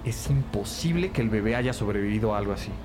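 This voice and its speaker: A man playing an easygoing, gentle young investigator in a scripted audio drama. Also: fairly low pitch, animated, fast, natural voice. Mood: calm, serious. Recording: music bed under voice. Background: music.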